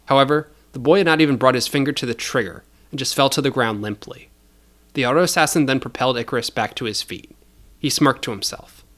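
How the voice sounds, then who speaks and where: A man's voice: mid-range voice, fairly bright tone. A man narrating scripted fiction as a storyteller, in a dramatic style.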